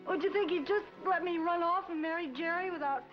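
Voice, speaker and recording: An actress playing a fretful, motherly, sharp-tongued secretary and bride in a 1940s film comedy. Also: extremely high pitch, brisk. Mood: upset. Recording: muffled 1940s film soundtrack, music behind.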